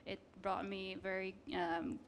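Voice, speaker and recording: A woman's voice: medium pitch, flat delivery, slow pace, a little hiss or hum. A young woman from Egypt, a PhD candidate on a panel, explaining her work with frequent fillers. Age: young adult. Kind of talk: panel discussion.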